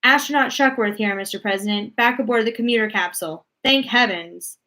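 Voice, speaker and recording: A woman voicing an excitable, folksy male astronaut from a storybook, gushing as he reports. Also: fairly high pitch, a little fast, low-quality recording. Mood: relieved. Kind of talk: storybook read-aloud character voice.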